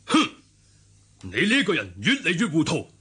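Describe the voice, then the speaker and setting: An actor with a Hong Kong Cantonese accent playing a stern, scornful cult elder in a radio drama, curt and accusing. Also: male voice, on the high side, huge pitch swings, moderate pace, pushed and bright, breathy edge, exaggerated voice. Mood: stern, suspicious.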